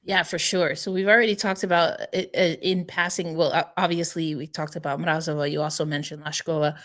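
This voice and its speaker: A woman hosting a hockey podcast, lively and laughing as she asks her guest questions. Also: low voice, a little fast. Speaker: podcast host. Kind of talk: podcast interview chat.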